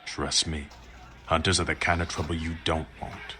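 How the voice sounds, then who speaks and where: An actor playing a blunt, wary, streetwise vampire war veteran in a video game, talking in gruff street slang. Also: male voice, a bass voice, little pitch movement, moderate pace, pushed and bright, a little hiss or hum. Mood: irritated, conspiratorial. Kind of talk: video game character dialogue. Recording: game music behind the voice.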